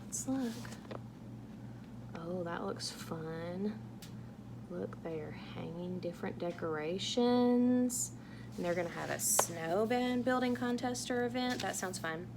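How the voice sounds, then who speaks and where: A woman reading a picture book aloud to young children in a playful, animated way, asking exaggerated wondering questions. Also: lowish voice, slow, gravelly, background noise. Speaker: children's read-aloud host.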